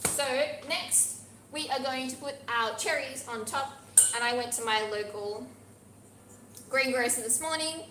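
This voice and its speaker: A woman's voice: high-pitched, unhurried, bright, forceful tone, echoey room, slight background noise. A woman from Australia with an Australian accent, chatting encouragingly as she demonstrates a baking recipe in a teaching kitchen. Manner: chatty, encouraging, talks while working with her hands. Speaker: pastry instructor. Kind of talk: live cooking demonstration. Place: teaching kitchen at a pastry academy.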